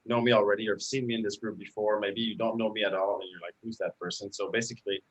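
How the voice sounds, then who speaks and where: A man's voice: fairly low pitch, little pitch movement, fast, rough recording. A man in his thirties, French Canadian, with English as a second language, telling his business story in a live video call with earnest, filler-laden speech. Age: 30s. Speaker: guest coach. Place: indoors at home, bright room. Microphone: laptop webcam video call.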